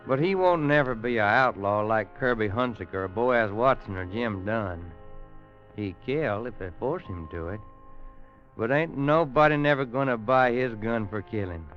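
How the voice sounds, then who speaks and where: Folksy old frontiersman, muffled vintage radio. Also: lowish voice. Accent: American Southern or Western drawl. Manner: folksy, rustic, halting speech. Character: rough old Western frontiersman, loyal, fatherly, grieving. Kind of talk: old-time radio Western acting. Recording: dull vintage radio recording with music.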